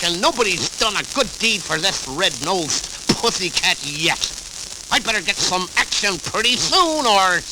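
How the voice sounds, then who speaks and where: A man's voice: high voice, heavily acted, poor audio. A man voicing a grumpy, scheming cartoon cat with a slobbery lisp and blustery delivery on a vintage children's record. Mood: anxious. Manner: blustery, exclamatory. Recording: vintage 78rpm record with music.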